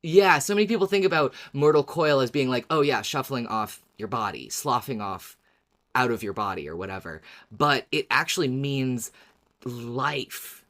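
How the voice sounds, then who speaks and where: A woman's voice: rumbling low, lively intonation, normal speed. A woman co-hosting a podcast, eagerly explaining her research in casual discussion. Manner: enthusiastic, explains research at length. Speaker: podcast co-host.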